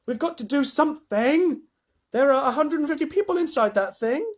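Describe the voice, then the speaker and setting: A man's voice: very high-pitched, expressive, moderate pace, pitched above the performer's own voice. A man voicing an eager, earnest young boy. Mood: earnest urgent. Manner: earnest, urgent.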